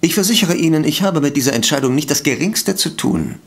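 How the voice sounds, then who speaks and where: An evasive, formal sanatorium director. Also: male voice, fairly high pitch, animated, a little fast, vocal fry. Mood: defensive, earnest. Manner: formal, hesitant, self-justifying. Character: evasive male sanatorium director, polite, defensive doctor. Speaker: voice actor in audio drama. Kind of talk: German detective radio play acting.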